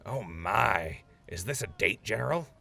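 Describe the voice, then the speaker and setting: Man acting low, bright-voiced wasteland radio host. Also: expressive, measured pace, vocal fry, heavily acted. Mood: friendly, cheeky. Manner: conversational, deferential, hesitant when flustered. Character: earnest, cheeky wasteland radio show host. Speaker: radio host interviewing a general. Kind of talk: audio drama acting.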